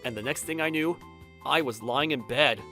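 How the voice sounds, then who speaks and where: A man's voice: medium pitch, normal speed, pushed and bright, faint music behind. A man voicing a stubborn, earnest young designer who narrates a manga dub and swings from reflective to exasperated. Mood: reflective narration. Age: young adult. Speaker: narrator and lead character. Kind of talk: anime-style manga dub, dramatized first-person narration.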